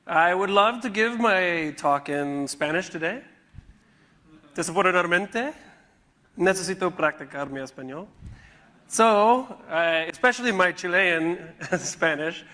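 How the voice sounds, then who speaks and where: A man from Kentucky giving a conference talk in short phrases, pausing for an interpreter. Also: high-pitched, expressive, pushed and bright. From Kentucky, USA. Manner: short phrases with pauses for translation. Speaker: conference speaker. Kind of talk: stage talk paused for interpreter. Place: conference hall. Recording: reverberant hall.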